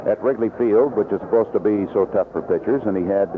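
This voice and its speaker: A man's voice: fairly low pitch, quick pace. An American man calling baseball on 1970s radio as a play-by-play announcer, in a conversational delivery full of statistics. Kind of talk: 1970s radio sports commentary. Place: outdoor ballpark broadcast. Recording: noisy narrow-band vintage radio broadcast.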